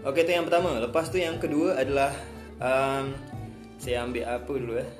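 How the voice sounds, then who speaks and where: A man from Indonesia, explaining a topic in a casual talk to camera, often pausing with filler sounds and restarts. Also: mid-range voice, fairly even intonation. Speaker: video host. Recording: echoey room with music behind.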